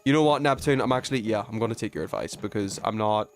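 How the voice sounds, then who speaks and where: A man's voice: on the low side, fast. A man live streaming a video game with casual, slangy commentary full of swearing.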